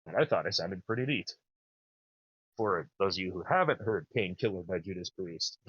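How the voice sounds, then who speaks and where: A man in his thirties with a naturally low voice, chatting casually and enthusiastically as a podcast host. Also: fairly bright tone, breathy edge, no echo, dull audio. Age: 30s. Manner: rambling, enthusiastic. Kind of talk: casual chat.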